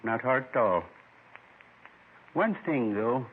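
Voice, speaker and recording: An actor playing a weary, meek man in his seventies under arrest, halting and wistful, in a 1950s radio drama. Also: mid-range voice, animated, breathy edge. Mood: worried, regretful. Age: 70s. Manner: halting, plain-spoken, wistful. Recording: muffled 1950s radio recording.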